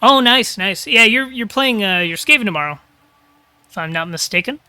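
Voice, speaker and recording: A man calls a live game match in a high, ringing voice, talking casually and thinking out loud. His throat is a little crackly. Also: animated, normal speed, clean tone, no room sound. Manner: casual, chatty, thinking aloud. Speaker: caster.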